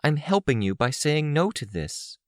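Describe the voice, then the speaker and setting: A man's voice: on the high side, big pitch swings, moderate pace, no room sound, studio quality, pitched above the performer's own voice. A man voicing a forlorn but stubborn young woman in an audiobook, earnest and insistent in delivery. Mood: pleading, insistent.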